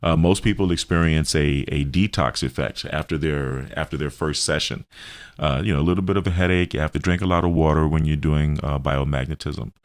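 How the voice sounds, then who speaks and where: Very deep-voiced man, halting delivery. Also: no room sound. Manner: halting, hesitant phrasing with repeated words. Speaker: radio show host and health practitioner. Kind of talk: explanatory radio interview talk.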